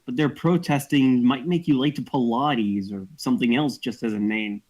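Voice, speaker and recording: A man's voice: mid-range voice, normal speed, a little mellow, close to an everyday voice. A man playing a scornful cafe patron mocking a friend in a screenplay table read.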